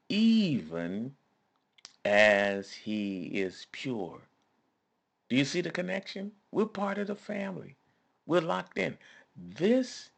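A man preaching a devotional sermon, emphatic and deliberate, quoting scripture and putting rhetorical questions to listeners. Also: mid-range voice, gravelly.

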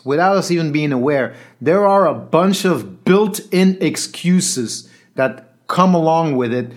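A man who works as a coach, telling a personal story in an earnest, reflective monologue that sometimes drops quiet. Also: on the high side. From Belgium.

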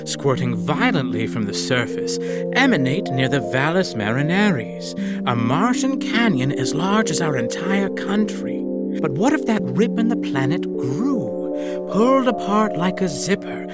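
Audio drama scientist, avuncular and fussy, very animated delivery, emphatic and excitable. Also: male voice, on the high side, a little slow, loud music behind the voice. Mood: lecturing. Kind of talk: theatrical audio drama acting.

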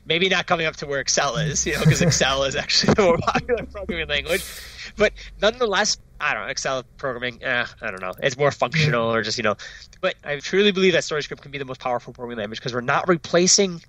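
A man's voice: on the high side, lively intonation, pushed and bright, no room sound, low-quality recording. A man, a startup founder, talking eagerly in a casual interview, often tagging "right?" onto his sentences. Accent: non-Dutch foreign accent. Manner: enthusiastic, fast, peppered with "right?" and "like".

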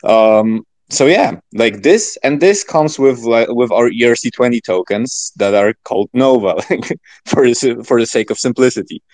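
A man talking as a podcast guest in casual, informal chat, rambling and halting with many filler words. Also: medium pitch, expressive, darkish tone, no echo. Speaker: podcast guest, project founder.

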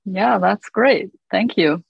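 A woman's voice: mid-range voice, slow pace, creaky, husky, dry recording, highs cut off. A woman hosting a casual interview, asking curious, encouraging questions and giving short backchannels. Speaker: interviewer, host.

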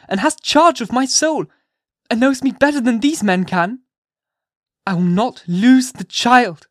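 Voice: sits high, dry recording, studio quality, pitched above the performer's own voice. A reader voicing a desperate young mother who pleads passionately, near shrieking, to keep her child. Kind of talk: audiobook reading.

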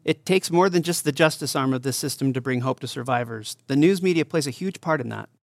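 A man's voice: mid-range voice, fairly quick, creaky, no echo, studio quality, natural voice. A man playing a supportive doctor and advocate, speaking sincerely and articulately in an audio drama interview. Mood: grateful. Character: earnest, supportive male doctor and survivor advocate.

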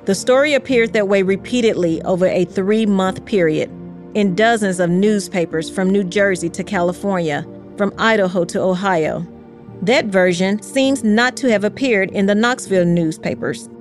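A woman reading scripted history narration in a measured, carefully articulated storytelling way. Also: mid-range voice, faint music behind. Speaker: podcast narrator.

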